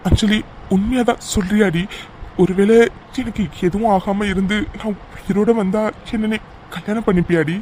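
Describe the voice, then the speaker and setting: A man playing an injured, lovesick young man in an audio drama, speaking haltingly and earnestly to his beloved. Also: high voice, steady tone, a little mellow, a little hiss or hum, lightly acted, poor audio. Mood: emotional, lovelorn. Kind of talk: melodramatic Tamil audio drama acting.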